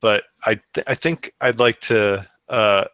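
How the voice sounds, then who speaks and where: Low, bright-voiced male co-host. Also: steady tone, smooth, dry recording. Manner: halting, repeats words as he thinks. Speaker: podcast co-host. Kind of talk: casual podcast chat.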